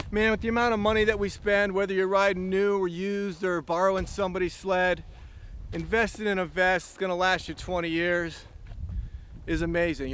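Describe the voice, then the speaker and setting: A man's voice: high voice, a bit bright, a little hiss or hum. A man giving an enthusiastic, plainspoken review outdoors on a snowy farm, talking casually and a bit rambling. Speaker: snowmobile reviewer.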